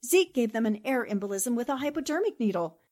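High-pitched, animated plucky newspaperwoman played by an actress. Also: fast talker. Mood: brisk, curious. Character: plucky, quick-witted newspaperwoman investigating a crime. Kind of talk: 1940s radio drama acting.

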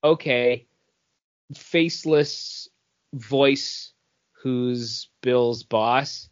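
A man with a mid-pitched voice talks very slowly as a podcast co-host. He reviews comics casually, rambling and repeating phrases while he thinks. The sound is slightly dull and lo-fi. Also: steady tone.

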